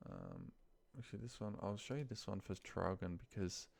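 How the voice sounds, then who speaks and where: A man speaks in a deep register with plenty of vocal fry. He talks through a computer task casually, as an office trainer would, thinking aloud. His short phrases often sound muttered to himself. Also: huge pitch swings, normal speed, a little mellow. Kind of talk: casual screen-share walkthrough, thinking aloud. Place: indoors at a computer, screen recording.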